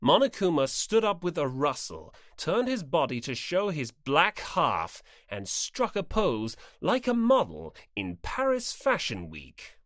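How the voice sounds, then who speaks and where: Narrator for fiction audiobook: expressive, varied pitch, scripted delivery, very dry studio-like sound. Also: a little slow, few pauses, dry recording.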